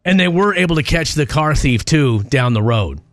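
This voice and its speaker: A man's voice: on the high side, lively intonation, moderate pace, a bit bright, dry recording, studio quality. A man co-hosting a radio show, telling stories in an informal, conversational way. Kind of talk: casual radio talk, telling a news story.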